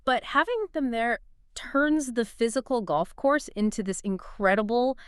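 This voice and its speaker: A woman's voice: fairly high pitch, expressive, unhurried, clear, resonant tone, no echo, studio quality. A woman co-hosting a podcast-style explainer, articulate and emphatic, in a conversational but scripted-sounding way. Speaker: co-host.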